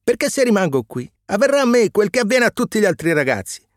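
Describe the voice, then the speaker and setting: A man voicing a cheeky, defiant wooden puppet boy in a storybook reading, loud and pushy. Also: very animated, fast talker, no echo, clean studio sound. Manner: loud, pushy, high-pitched.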